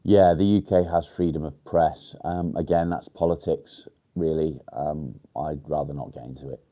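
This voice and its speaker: A man's voice: very deep voice, fairly even intonation, moderate pace, mellow. A man from England hosts a livestream, answering viewer comments in a blunt, casual way. He sounds nasal and congested from a blocked nose. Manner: blunt, straight to the point. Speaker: livestream host.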